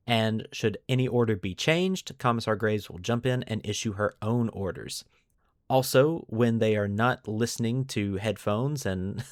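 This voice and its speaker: A man's voice: lowish voice, no room sound, studio quality. A man hosting a podcast, mixing scripted lore narration with casual commentary and putting dramatic emphasis on key words. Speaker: podcast host.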